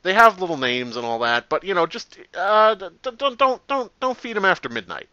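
Man doing a nervous phone-message employee, bright sharp voice, hesitant rambling, falsely reassuring, horror-game parody, dull lo-fi mic. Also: high-pitched, sing-song, brisk, no room sound, exaggerated voice. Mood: rambling, matter-of-fact. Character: nervous, rambling phone-message guy briefing a doomed night guard. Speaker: pizzeria employee leaving a recorded message. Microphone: cheap replacement microphone. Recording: dull lo-fi cheap microphone, telephone-like.

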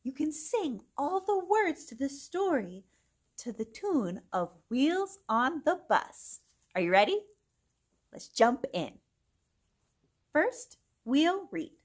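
A young adult woman hosting a children's read-along, reading a picture book aloud in an exaggerated, animated manner for small children. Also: high-pitched, slow. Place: small indoor room with bookshelf.

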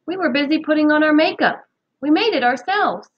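Woman playing sheepish storybook boy, defensive, earnest, high lively voice, dull recording. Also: brisk. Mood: explaining. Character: sheepish, earnest boys at summer camp.

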